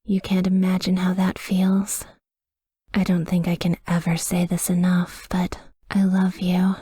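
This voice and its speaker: A woman playing a tender, tormented vampire lover in a slow, breathy, whispered ASMR roleplay, hushed and intimate. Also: fairly low pitch, completely flat delivery, no echo, studio quality. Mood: loving, melancholy. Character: tender, tormented female vampire lover watching over her sleeping partner.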